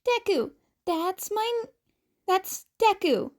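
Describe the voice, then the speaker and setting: A very high, excitable voice of a concussed teenage boy, acted out by a woman. He is sassy but easily rattled, stammering and squawking through his lines in a story reading. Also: takes it slowly, exaggerated voice. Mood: woozy, defensive. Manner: hesitant, stammering, squawky complaints.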